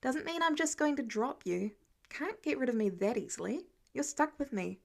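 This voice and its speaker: A woman playing an eager teenage boy science prodigy in an audiobook, talking in an excitable, earnest way. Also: sits high, normal speed, vocal fry, exaggerated voice. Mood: reassuring.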